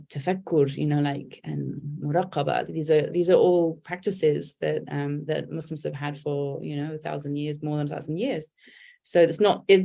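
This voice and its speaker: A woman's voice: low-pitched, vocal fry, warm tone. A woman, a poet and writer talking candidly and thoughtfully in an interview, with many restarts. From England. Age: 40s. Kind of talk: reflective conversational interview.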